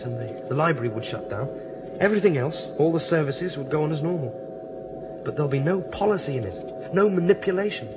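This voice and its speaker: An actor playing a determined rebel, explaining methodically and earnestly in a radio drama. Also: male voice, a little high, close to an everyday voice. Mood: firm, defiant. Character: determined male rebel planning to shut down a ruling computer. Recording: dull lo-fi recording, clear background noise.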